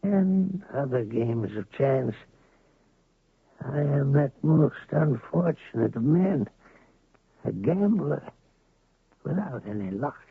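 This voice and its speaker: A gruff down-on-his-luck rancher, slow, raspy, dry tinny radio sound. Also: mid-range voice, expressive, no room sound, full character voice. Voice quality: gravelly, rural speech. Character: worn-out male rancher, unlucky gambler, gruff. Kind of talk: 1970s radio drama acting. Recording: lo-fi phone-band dry old radio recording.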